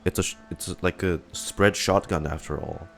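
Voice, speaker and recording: Low voiced dude streaming a game, slow musing reactions, swears a bit, quiet music behind. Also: male voice, fairly even intonation, gravelly. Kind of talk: casual gameplay reaction commentary. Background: soft game music.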